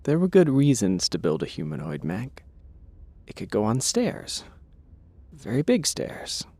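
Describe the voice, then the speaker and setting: A man narrating an audio drama with a gravelly, husky voice and a wry, dramatic delivery. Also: mid-range voice, wildly swooping pitch, measured pace, dark tone. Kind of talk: scripted audio drama storytelling.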